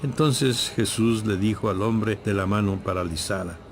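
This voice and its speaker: A man giving scripted, measured and solemn narration for a dramatized audio Bible. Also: fairly low pitch, a little hiss or hum.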